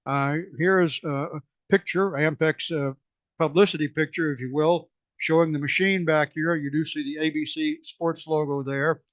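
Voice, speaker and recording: A man presenting a technical slide talk at a museum society meeting, hoarse and losing his voice, halting with many fillers and restarts. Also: a little high, steady tone, dry recording, lo-fi sound. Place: meeting room.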